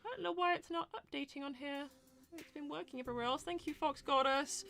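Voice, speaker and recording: A woman's voice: high-pitched, fast talker, gravelly, fairly bright tone. A woman hosting a casual livestream Q&A, reading out and answering viewer messages in an informal, chatty way. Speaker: host of a fan series livestream Q&A.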